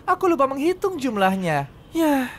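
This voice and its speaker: A woman playing a cheerful, kind young male tank engine in an expressive, earnest children's cartoon dub. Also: high voice, moderate pace, slight background noise. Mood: puzzled, disappointed. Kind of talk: dubbed children's cartoon acting. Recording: loud music behind.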